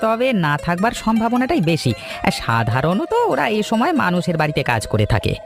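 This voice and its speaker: A man voicing a sly, spiteful villager in a cartoon story, with a brisk, dismissive manner. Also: high-pitched, wildly swooping pitch, music in the background, exaggerated voice. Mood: evasive. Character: spiteful, sly male villager, jealous and evasive. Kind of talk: Bengali cartoon story dubbing.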